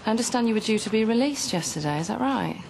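An actress playing a calm female police detective asking formal questions. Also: on the high side, fast talker, gravelly, a little hiss or hum.

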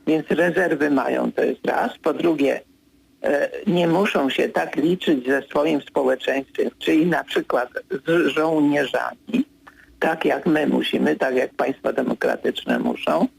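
An older man in his sixties, a political commentator in a radio studio, speaking in a measured way with frequent hesitations, pressing harder when he corrects someone. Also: on the high side, animated, gravelly, breathy edge, no echo, low-quality recording. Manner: measured, hesitant with many fillers, grows insistent when correcting.

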